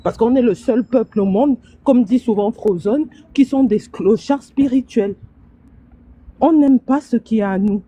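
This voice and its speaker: A woman's voice: on the high side, a little slow, dark tone, slightly rough, low-quality recording. A woman calling into a live stream from outdoors on the street, arguing hard and stumbling over repeated words as she makes her point. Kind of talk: heated casual debate.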